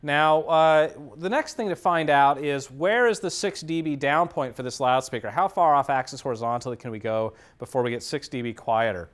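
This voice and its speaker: A man's voice: mid-range voice, normal speed, hardly any pauses, smooth. A man giving a hands-on demonstration lecture as an instructor, explaining things methodically, step by step. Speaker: audio engineering instructor.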